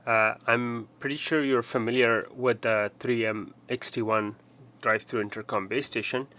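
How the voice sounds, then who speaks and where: A man's voice: mid-range voice, fairly even intonation, moderate pace, sharp tone. A man presenting an unscripted technical tutorial, explaining step by step in a somewhat hesitant way.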